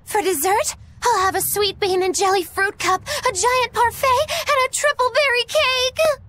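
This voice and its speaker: A woman's voice: extremely high pitch, a little slow, bright, forceful tone, heavily acted. A woman playing a flippant, teasing witch in a video game, theatrical and sardonic. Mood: playful. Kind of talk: English game voice acting.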